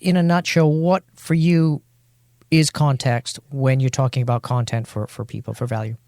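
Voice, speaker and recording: A man's voice: medium pitch, little pitch movement, normal speed, gravelly, a little mellow, no echo, studio quality. A man hosting a podcast, chatting loosely and introducing his guest with fillers and restarts. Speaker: podcast host.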